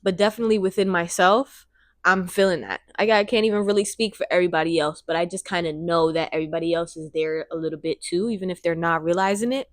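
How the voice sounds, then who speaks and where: Rambling, excited woman in her twenties. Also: fairly low pitch, fast talker, dry recording, clean studio sound. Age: 20s. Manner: excited, rambling, repeats phrases. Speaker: vlogger. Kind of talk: casual vlog monologue. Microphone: handheld microphone.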